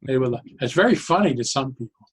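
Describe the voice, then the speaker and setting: An older man speaking as a podcast guest, talking conversationally and encouragingly, with deliberate emphasis on key phrases. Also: mid-range voice, animated, husky. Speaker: podcast guest, laughter advocate. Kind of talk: conversational interview talk.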